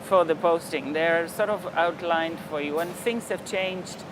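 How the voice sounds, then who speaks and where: An older man from Poland, a diplomat speaking in a television interview in a reflective, measured way with frequent hesitations. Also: on the high side, noisy background. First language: Polish. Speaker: ambassador.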